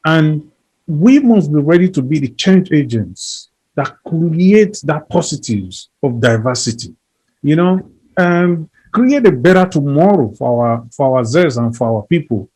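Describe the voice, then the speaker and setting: A man's voice: fairly high pitch, animated, measured pace, a little mellow, breathy edge, poor audio. Earnest man speaking in repeated lists. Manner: emphatic, repeats phrases, builds lists. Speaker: interview guest. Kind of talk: earnest conversational advocacy.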